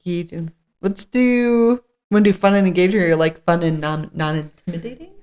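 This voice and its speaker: A woman's voice: on the low side, moderate pace, darkish tone. A chatty, giggly woman co-hosting a podcast, moving between reading a script and casual banter. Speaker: podcast co-host. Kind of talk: casual table read with banter.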